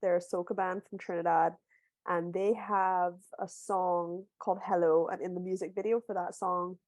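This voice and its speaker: A woman from Barbados, an author, talking through a video call. She tells her story thoughtfully with small restarts and sounds a little hoarse from a cold. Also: lowish voice, flat delivery, normal speed, darkish tone, no echo. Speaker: author guest. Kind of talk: casual book club video call conversation.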